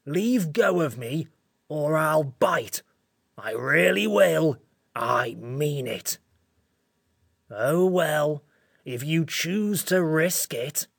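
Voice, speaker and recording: Storybook sand creature, very slow, gravelly, peevish, world-weary, self-pitying. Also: female voice, low-pitched, animated, no room sound, studio quality, pitched below the performer's own voice, full character voice. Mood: grumpy. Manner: slow, drawn-out complaining.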